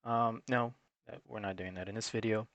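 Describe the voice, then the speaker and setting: A man with a fairly low voice narrates a hands-on technical tutorial. He talks in a plain, instructional way, working through each step unscripted. The sound is dry, with very little room around it. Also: fairly even intonation, moderate pace, fairly bright tone, dry recording, muffled recording. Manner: matter-of-fact, instructional. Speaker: technical support presenter.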